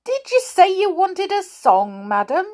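Woman voicing an excitable, high-pitched, loud old man. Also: measured pace, heavily acted. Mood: eager, obliging. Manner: loud, exclamatory, eager to oblige. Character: eccentric, hard-of-hearing, excitable, friendly old man. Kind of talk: children's storybook character voice.

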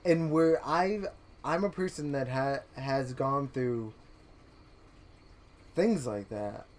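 A man's voice: mid-range voice, takes it slowly, a little mellow, slight background noise. A young man co-hosting a podcast, talking in a rambling, earnest way with frequent restarts and laughs. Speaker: podcast co-host. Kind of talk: casual chat.

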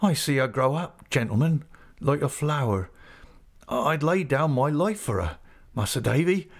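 A man voicing a shy, sturdy young fisherman who speaks haltingly. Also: mid-range voice, lively intonation, voice pushed up. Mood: tender. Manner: faltering, halting.

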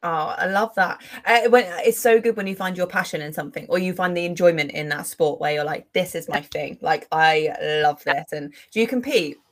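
A woman podcast host with a slightly low voice chats casually as she interviews. Her manner is enthusiastic and informal, and she repeats words for emphasis. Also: fairly quick, hardly any pauses.

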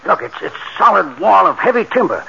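An actor playing a tough, take-charge bank robber in a 1940s radio drama, with colloquial, commanding, streetwise talk. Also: male voice, high-pitched, very animated, brisk, vocal fry, heavily acted. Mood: urgent, determined. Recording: muffled, noisy old radio recording.

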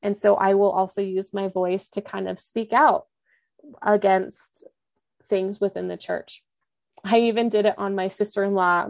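Earnest, gravelly young woman. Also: medium pitch, darkish tone, dry recording, clean studio sound. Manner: earnest, reflective, frequent "like" and restarts. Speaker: podcast host and guest. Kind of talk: candid conversational interview.

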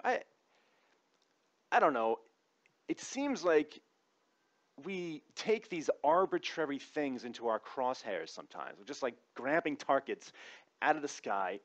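Male comedic commentator, mid-pitched, informal and wry, conversational opinion delivery, slightly muffled lo-fi recording. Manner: informal, wry, sometimes profane. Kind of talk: conversational opinion monologue with comic asides.

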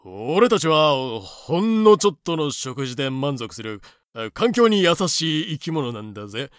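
A man playing a streetwise, deadpan adult male cockroach who reasons wryly in a comedic audio drama. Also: fairly high pitch, measured pace, no room sound, clean studio sound. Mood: wry protest.

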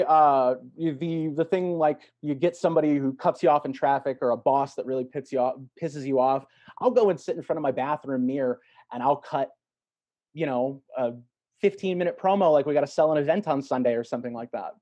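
A man's voice: a little high, animated, fairly quick, a little mellow. A man talking casually as a podcast guest, explaining things in a thoughtful, conversational way.

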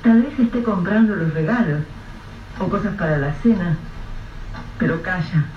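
Guy narrating a radio play, slow grave delivery, echoey and kinda distant, dull faint-hiss sound. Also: sits high. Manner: measured, grave storytelling. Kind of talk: scripted radio drama narration.

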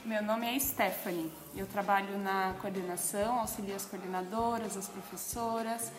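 A woman's voice: medium pitch, fairly even intonation, normal speed, echoey room, over music. A woman in her twenties who assists a school's coordinators, answering children's questions and listing points with small hesitations. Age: 20s. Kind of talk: school project interview.

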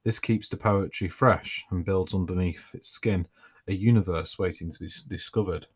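A man reads his own writing for his podcast. His voice sits very low, and his delivery is relaxed, with a dry, self-mocking humour. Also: normal speed, slightly rough. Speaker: podcast host and author.

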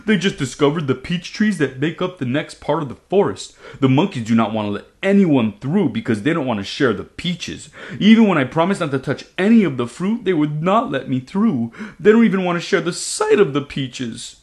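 A man voicing a big, gentle male dragon who sniffles and sobs. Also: fairly high pitch, lively intonation, a little fast, hardly any pauses, voice pushed up, natural voice. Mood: complaining. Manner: sniffling, sobbing, pleading.

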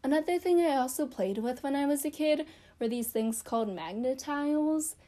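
A woman's voice: high voice, moderate pace, mellow. A young woman chatting casually to camera about her childhood, rambling and self-deprecating with lots of fillers. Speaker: vlogger.

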